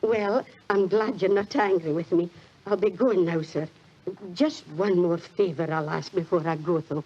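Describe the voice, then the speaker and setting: A woman's voice: on the low side, a little slow. An actress with a British accent playing a humble, apologetic landlady in 1940s radio style. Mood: sheepish, grateful. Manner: deferential, apologetic. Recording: muffled 1940s radio recording.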